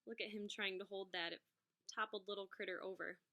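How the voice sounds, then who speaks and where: Bright-voiced woman reading to children. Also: mid-range voice, fairly quick. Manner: expressive, explanatory, addressing young children. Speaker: music teacher reading aloud to pupils. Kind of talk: children's storybook read-aloud with asides.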